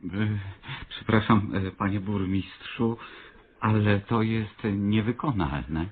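Nieśmiały, jąkający się trębacz. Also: male voice, on the low side, takes it slowly, phone-quality sound. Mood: apologetic, embarrassed. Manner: hesitant, stammering, apologetic. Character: timid, meek, clumsy small-town trumpeter, a man. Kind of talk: radio comedy play acting.

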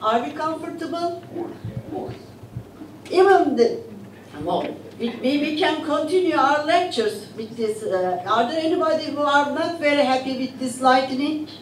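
An older woman, an English speaker, speaking on a panel and asking the audience rhetorical questions in an animated lecturing way. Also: high-pitched, unhurried, slight background noise. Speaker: panelist, academic. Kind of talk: lecture-style panel talk. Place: conference room with audience. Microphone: podium mic, recorded from far camera. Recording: roomy hall audio from far off.

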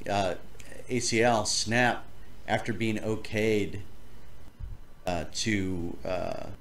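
A man's voice: lowish voice, fairly bright tone. A man in his forties from Los Angeles, hosting a casual interview over video call, laid-back and chatty with a self-deprecating streak. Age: 40s. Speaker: podcast host.

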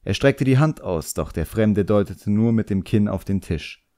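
A man's voice: lowish voice, expressive, moderate pace, dark tone. A man narrating a fantasy novel as a scripted audiobook, in a storytelling style.

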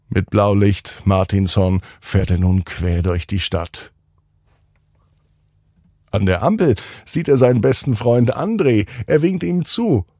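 Very deep, slow male bedtime storyteller. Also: dry recording, clean studio sound. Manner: unhurried, gentle, with deliberate pauses. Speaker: children's podcast storyteller. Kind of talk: scripted bedtime story narration.

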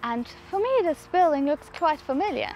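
Higher-voiced young woman vlogging. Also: expressive, moderate pace, dark tone, faint music behind. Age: 20s. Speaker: vlogger, presenter. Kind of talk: travel vlog presenting to camera. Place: outdoors in a churchyard. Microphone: clip-on lapel mic.

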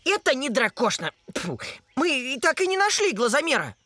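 A woman's voice: sits high, sing-song, normal speed, pushed and bright, slight background noise, full character voice. A woman voicing a friendly, playful child dragon in a children's cartoon, exclamatory and theatrical. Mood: dramatic.

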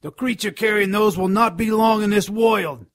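Scrawny, resentful, excitable imp fence, higher-pitched and gravelly, radio drama creature role. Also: male voice, moderate pace, dry recording. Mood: grave warning. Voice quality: gravelly, raspy. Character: scrawny, smelly male imp fence, spiteful and cowardly. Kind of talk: radio drama character acting.

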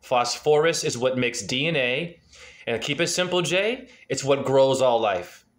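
A man's voice: mid-range voice, animated, a little slow. A man in his forties giving a freewheeling, earnest numerology reading over a video call from a dim room at home. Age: 40s. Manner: freewheeling, earnest, asks probing questions. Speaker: spiritual numerology reader. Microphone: webcam on a video call.

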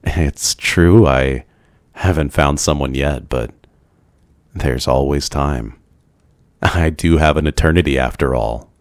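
A man voicing a brooding, lonely ancient vampire in an ASMR roleplay, gentle, courteous and soft-spoken, with hesitant pauses. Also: rumbling low, dry recording, studio quality. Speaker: roleplay voice performer. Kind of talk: ASMR boyfriend roleplay, scripted.

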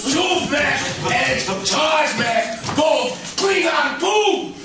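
Fierce Klingon, wildly high-pitched, echoey stage. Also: male voice, lively intonation, drawn-out words, raised voice, over music, a crowd in the background, exaggerated voice. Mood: fierce, agitated. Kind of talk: theatrical stage acting in an invented alien tongue. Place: theatre stage, indoors. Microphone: distant from the actors. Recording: echoey live theatre, distant microphone.

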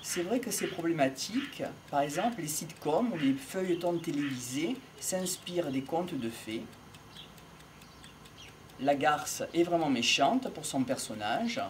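Middle-aged man, reflective amateur lecturer, unhurried halting delivery, outdoor recording with some background noise and birds. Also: on the high side. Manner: measured, halting, pausing between short phrases. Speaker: amateur lecturer on psychology and philosophy. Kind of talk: improvised reflective monologue. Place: outdoors in an open field by trees. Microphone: small wired mic held near the mouth.

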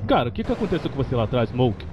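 A man's voice: medium pitch, big pitch swings, very fast, lightly acted. A Brazilian man voicing a young, straight-talking street gangster in a crime game fan dub, casual and slangy. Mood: questioning. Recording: noisy game audio with car sounds.

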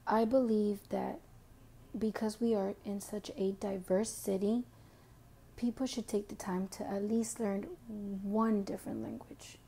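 A young woman with a Mexican background reading a prepared self-introduction as an education student, slowly and carefully. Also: medium pitch, level tone, gravelly, dark tone, breathy edge. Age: young adult. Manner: slow, careful reading, switching to Spanish for a passage.

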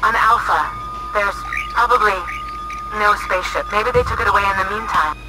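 A woman's voice: mid-range voice, moderate pace, over a noisy crowd, low-quality recording. A woman playing a harried ally in a video game, heard through a radio transmission filter. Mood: hesitant. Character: harried female ally calling from orbit. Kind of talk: video game character acting. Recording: radio voice under loud music.

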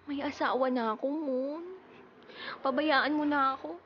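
A woman's voice: sits high, fairly even intonation, unhurried, slight background noise. A woman acting a young wife kept at home by a jealous husband, pleading and tearful, in a radio drama. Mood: hushed sorrow. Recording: lo-fi radio broadcast with music.

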